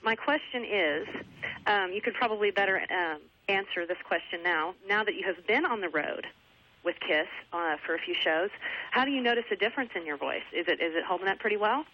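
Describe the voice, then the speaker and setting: A woman's voice: medium pitch, a little fast, a little hiss or hum. A woman calling in to a radio show to ask a question, hesitant and polite, over a phone line. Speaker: caller. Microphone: telephone.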